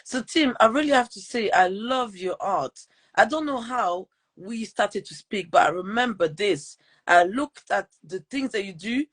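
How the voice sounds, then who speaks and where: Female podcast host, mid-pitched, slow delivery, informal live chat with repetition. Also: animated. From Portugal and Switzerland. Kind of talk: casual live interview chat.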